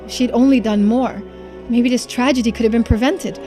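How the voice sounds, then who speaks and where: Woman streamer reading story game narration, dramatic scripted delivery, soft music behind. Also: on the high side, fairly even intonation, a little fast.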